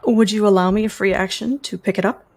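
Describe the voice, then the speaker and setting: A woman's voice: medium pitch, fairly even intonation, fast talker, a little mellow. A woman playing a tabletop game, describing her character's actions in vivid detail. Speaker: player.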